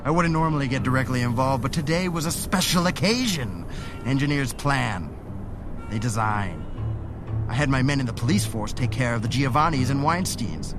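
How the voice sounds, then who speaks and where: An actor playing a sinister, megalomaniac villain in an audio drama, theatrical, mocking and taunting. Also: male voice, medium pitch, animated, normal speed, music in the background, lightly acted. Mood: smug.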